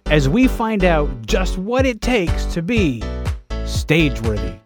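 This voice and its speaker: A man's voice: high-pitched, big pitch swings, takes it slowly, over music. Canadian man hosting a podcast. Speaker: theater podcast host. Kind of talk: scripted podcast intro and conversational interviewing.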